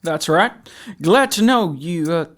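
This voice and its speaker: A high-pitched male government aide played by a man in an audio drama. He is formally polite and fussy, an officious official who is easily flustered. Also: lively intonation, measured pace, a bit bright. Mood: courteous. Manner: formal, polite, fussy, faltering when challenged. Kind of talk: fan-made audio drama acting.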